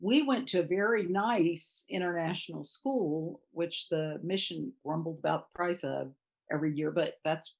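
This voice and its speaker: A woman's voice: deep voice, moderate pace, gravelly. A woman who grew up in Thailand, a guest author chatting casually in an interview and laughing as she tells anecdotes.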